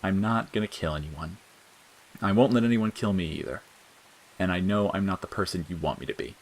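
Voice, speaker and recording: A man plays a gentle young boy in an audio story. The delivery is earnest, patient and a little hesitant, with a plain and forgiving tone. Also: low voice, brisk, low-quality recording. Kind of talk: dramatic audiobook character acting.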